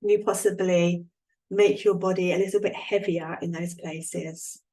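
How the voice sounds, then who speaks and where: A woman leading a guided relaxation as an instructor, speaking in a calm, soothing way with long, unhurried pauses. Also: fairly low pitch, poor audio.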